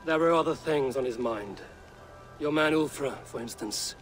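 An actor playing a steady, blunt Viking warrior in his thirties in a video game, with measured, understated delivery. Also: medium pitch, faint music behind, natural voice. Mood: dry, blunt.

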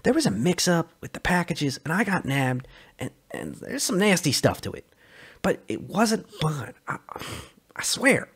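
A man's voice: a little high, sing-song, unhurried, a bit bright, breathy edge, pitched above the performer's own voice, full character voice. A man voicing a defensive, flippant male smuggler in a story reading, hesitant and stammering as he pleads. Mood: nervous, pleading.

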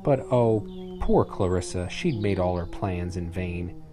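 A man's voice: deep voice, unhurried, music in the background. A man reading a rhyming children's story aloud with theatrical, dramatic phrasing. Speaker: storybook reader. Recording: clearly noisy added ambient sound effects. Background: sound effects, ambience, animal sounds.

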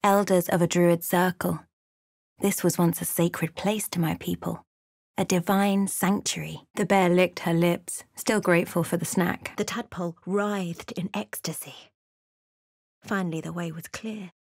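An actress playing an observant, wry woman adventurer in a fantasy video game, with measured, dramatic storytelling delivery. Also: lowish voice, steady tone, a little mellow, no room sound, clean studio sound. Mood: tense, hushed. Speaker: voice actor for a fantasy role-playing game companion or player character. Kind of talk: scripted video game voice lines, narration and character remarks.

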